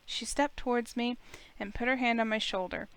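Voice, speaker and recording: A woman voicing a grieving high school heroine, bitter yet earnest, in visual novel narration and dialogue. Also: steady tone, moderate pace, fairly bright tone, clear, resonant tone, no room sound, natural voice. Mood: uneasy, reflective.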